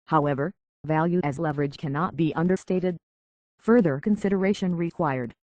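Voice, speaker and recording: An actor voicing a remorseful, idealistic synth leader in a video game, speaking in a grave, measured and deliberate way. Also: male voice, fairly high pitch, no echo, muffled recording, natural voice. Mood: clinical, detached. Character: solemn, remorseful male synth android leader, idealistic and weary.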